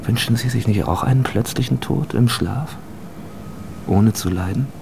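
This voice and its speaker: An actor playing a calmly menacing, philosophising stranger in a radio drama, deliberate, probing and insistent. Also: male voice, medium pitch, creaky, background noise, natural voice. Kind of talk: German radio drama acting.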